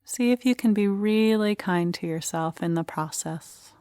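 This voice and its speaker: A woman leading a guided meditation, speaking in short, gentle phrases with unhurried pauses. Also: medium pitch, clear, resonant tone, no echo, studio quality.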